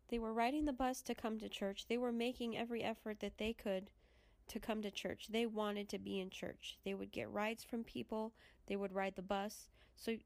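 A woman hosting a church podcast, her voice stuffy and congested from a cold. She speaks in an earnest, prayerful way with a gravelly rasp and a flat, steady delivery. It sounds dry and close, with no room echo. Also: mid-range voice. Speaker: podcast host. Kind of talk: closing remarks and spoken prayer.

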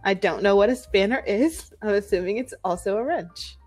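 An American woman speaks in a middle-pitched voice as an English tutor. She explains things in a casual, conversational way, with soft music faintly in the background. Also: normal speed.

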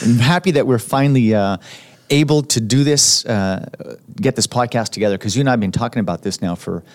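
A man's voice: medium pitch, fairly quick. A man hosting a casual podcast, teasing his guest and laughing easily. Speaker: podcast host and teacher. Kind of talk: casual interview chat.

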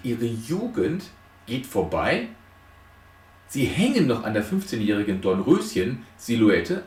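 A man giving an explanatory lecture with storytelling, speaking deliberately with dramatic emphasis. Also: lowish voice, a little mellow, a little hiss or hum. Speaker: lecturer on psychotherapy. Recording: roomy echo, distant microphone.

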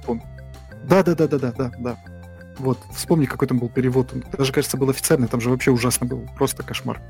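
A man co-hosting a casual podcast about games, talking in halting bursts full of fillers and restarts. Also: medium pitch, steady tone, creaky, darkish tone, faint music behind. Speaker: podcast co-host.

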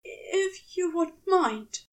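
A woman voicing an anxious, affectionate male angel, with a breathy, hushed, unsteady delivery and polite, halting, formal phrasing. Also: extremely high pitch, lively intonation, distant mic, voice pushed up, full character voice. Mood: shaken, apologetic.

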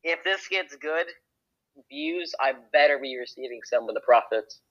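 A man's voice: on the high side, very animated, moderate pace, fairly bright tone. A young man chatting on a casual podcast, boastful and joking as he rambles. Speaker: podcast guest. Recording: dull lo-fi sound.

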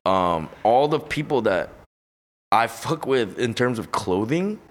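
A man's voice: medium pitch, big pitch swings, slow, a little hiss or hum. A young man from Los Angeles, a podcast guest in casual interview chat, who talks in a slangy, profane, rambling way with lots of fillers. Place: hot room on a high floor.

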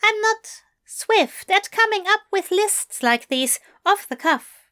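Fussy, earnest gentleman angel, put on by a woman, high and animated. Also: slow pace, heavily acted. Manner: bright, fluttery, earnest.

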